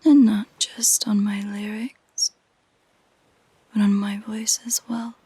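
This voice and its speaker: A woman voicing a lonely, possessive female siren in a soft, breathy whisper, coaxing and intimate, for a roleplay audio drama. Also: medium pitch, flat delivery, no echo. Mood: wistful, lonely. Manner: slow, gentle, intimate.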